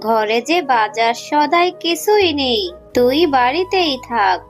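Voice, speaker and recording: A woman's voice: high voice, lively intonation, a little slow, soft background music. A woman playing a poor, worried cartoon mother fox who speaks in a plaintive, motherly way. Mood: plaintive chiding. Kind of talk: cartoon dubbing.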